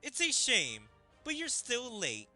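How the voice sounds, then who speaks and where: A man's voice: very high-pitched, wildly swooping pitch, slow, bright, forceful tone, faint music behind, pitched above the performer's own voice, full character voice. A man voicing a stern female demon teacher from a manga game, firm and scolding.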